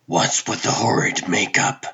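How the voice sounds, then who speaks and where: A man voicing a demanding, irritable television producer in a comic book dub, curt and deadpan. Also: unhurried, dull audio. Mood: displeased.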